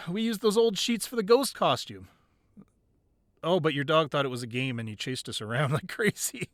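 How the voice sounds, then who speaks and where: A man's voice: on the high side, a bit bright, no echo, clean studio sound, heavily acted. A man playing an affectionate boyfriend who secretly runs a crime ring, in an intimate, conversational ASMR roleplay. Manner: conversational, playful with partner, curt and commanding in business.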